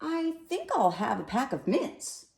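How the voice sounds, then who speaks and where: Woman voicing an expressive storybook gentleman, echoey. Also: sits high, unhurried, vocal fry, pitched above the performer's own voice, full character voice. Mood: cheerful. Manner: wondering, exclaiming, expressive. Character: lonely tall thin man, newly delighted and polite. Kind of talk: storybook character voice in a read-aloud. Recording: roomy, echoey sound.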